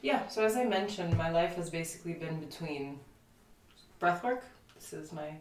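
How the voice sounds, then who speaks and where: A woman's voice: on the low side, lively intonation, normal speed, slight background noise. A woman in her thirties who grew up in Lithuania and whose first language is Lithuanian. She is a guest in an interview, talking reflectively and rambling with many fillers. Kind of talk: casual interview conversation. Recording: echoey room, distant mic, faint ambience.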